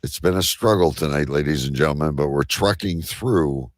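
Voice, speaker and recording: A man's voice: a bass voice, normal speed, no room sound, clean studio sound. A man hosting a live talk show, reading out odd news and bantering casually with crude jokes. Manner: crude, joking.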